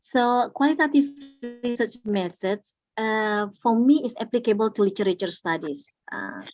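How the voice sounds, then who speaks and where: A woman answering questions at an online workshop, speaking haltingly with many fillers and restarts. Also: a little high, expressive, darkish tone, muffled recording, soft background music. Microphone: video call.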